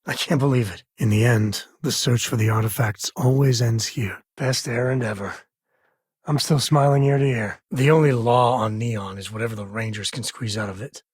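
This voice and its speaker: A husky, wry spacefaring ranger from a video game, played by a male actor, with a mid-pitched male voice. He talks in plain, folksy phrases like a protective, easygoing dad. The sound is close and dry. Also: steady tone, moderate pace, no room sound, natural voice. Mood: serious. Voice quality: husky, raspy. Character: laid-back, protective spacefaring frontier ranger and devoted father. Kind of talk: video game character voice lines.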